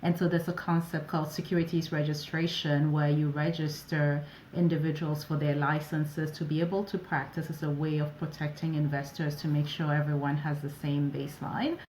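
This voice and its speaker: A woman in her forties whose first language is French, from Ivory Coast and Ghana, telling stories lively as an interview guest. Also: low voice, moderate pace, few pauses, slight background noise. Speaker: guest, operations advisor. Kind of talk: casual interview answers. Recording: echoey room.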